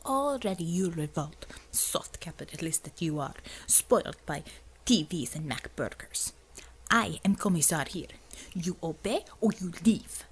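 A woman's voice: fairly low pitch, expressive, measured pace, a little hiss or hum. A woman voicing a domineering female commissar with a Russian accent and a clipped, contemptuous manner. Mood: icy command.

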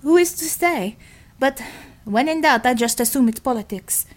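A woman's voice: sits high, animated, moderate pace, pitched above the performer's own voice. A woman voicing a short-tempered Russian woman commander in a storybook reading, with a Russian accent and a blunt, clipped, emphatic delivery. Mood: wry, resigned.

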